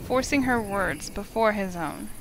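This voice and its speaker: A woman's voice: medium pitch, lively intonation, unhurried, fairly bright tone, a little hiss or hum, poor audio. Woman reading a visual novel aloud. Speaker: streamer, reader.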